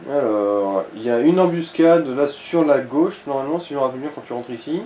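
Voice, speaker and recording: A man with a dark, relaxed voice chats casually as he guides someone through a game. The room echoes around him, and there is faint background noise. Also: medium pitch, a little fast. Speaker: co-commentator guiding the player. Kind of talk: casual gaming chat, giving tips.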